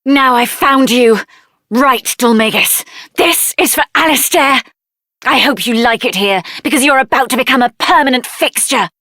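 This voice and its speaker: A woman voicing a feisty, sassy, sharp-tongued young sorceress out for revenge in a video game. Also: sits high, normal speed, no room sound, studio quality. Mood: vengeful, defiant.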